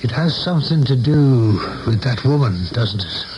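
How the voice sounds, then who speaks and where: An actor in a 1970s radio play, playing an older saloon keeper who is folksy, shrewd and philosophical with a loyal friend. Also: male voice, mid-range voice, animated, normal speed, background noise, close to an everyday voice. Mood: folksy, probing. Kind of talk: 1970s radio drama acting. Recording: dull vintage radio broadcast, music behind.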